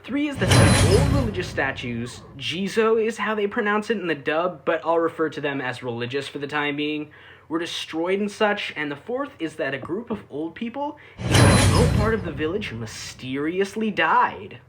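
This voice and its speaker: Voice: medium pitch, very animated, normal speed, very noisy. A reviewer narrating a scripted but casual video review, with sarcastic asides and dry humour.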